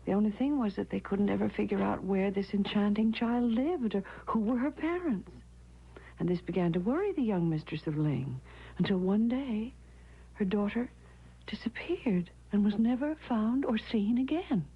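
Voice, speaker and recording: On a dull vintage radio recording, an anxious young wife in a gothic radio drama. Her voice is breathy and moves expressively, earnest and devoted, with a haunted edge. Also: mid-range voice, animated, normal speed. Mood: frightened, haunted. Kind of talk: old-time radio drama acting, with narration.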